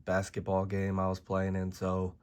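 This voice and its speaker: Hoarse low-voiced man ranting. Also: completely flat delivery, moderate pace. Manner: blunt, profane, exasperated ranting. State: hoarse from a lost voice and sick. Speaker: trading card game YouTuber. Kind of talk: ranting video commentary.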